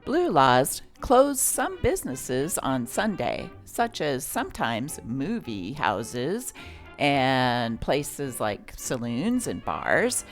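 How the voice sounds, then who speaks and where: A woman hosting and reading old newspaper articles aloud in a scripted narration style. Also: low-pitched.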